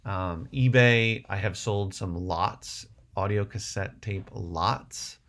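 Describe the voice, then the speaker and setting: Guy on a livestream, lowish creaky voice, casual rambly chat, thinking out loud. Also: slow pace. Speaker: livestream host. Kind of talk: casual livestream chat answering viewer questions.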